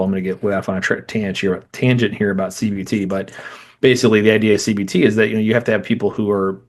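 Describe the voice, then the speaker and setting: Fast-talking low-voiced man in his thirties. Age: 30s. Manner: rambling, restarts and digresses. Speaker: guest psychologist. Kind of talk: conversational interview.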